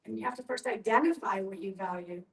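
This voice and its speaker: A woman's voice: mid-range voice, fast talker, vocal fry. A woman speaking as a panelist in an earnest group discussion, explaining her points with emphasis. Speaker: panelist at a discussion on end-of-life planning. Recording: roomy echo, distant from the mic.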